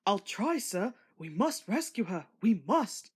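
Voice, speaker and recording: A woman voicing a cheerful, eager young male steam engine with an excited, earnest way of exclaiming. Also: medium pitch, unhurried, husky, exaggerated voice.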